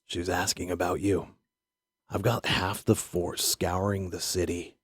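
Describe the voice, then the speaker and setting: A man acting out a stern, weary police officer in an audio drama. His voice is very low and slightly husky. He delivers pointed, lecturing warnings with little rise or fall. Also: level tone, measured pace, dry recording.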